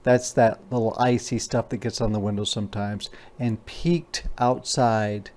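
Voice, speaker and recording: A man's voice: fairly low pitch, level tone, a little slow, darkish tone. A man reading a children's storybook aloud as a teacher, with informal, explanatory asides in simple words.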